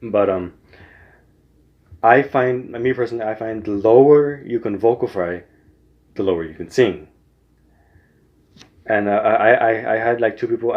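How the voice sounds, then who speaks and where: Young guy rambling thru singing tips, lowish voice, muffled lo-fi sound. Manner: rambling, many restarts and fillers. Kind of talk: casual spoken tutorial.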